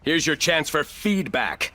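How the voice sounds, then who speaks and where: An actor playing a ruthless, arrogant corporate executive turned combat operator in a video game, speaking in clipped, commanding, coolly condescending lines. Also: male voice, fairly high pitch, very animated, a little slow, sharp tone, heavily acted. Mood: cold, commanding. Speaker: playable military shooter operator.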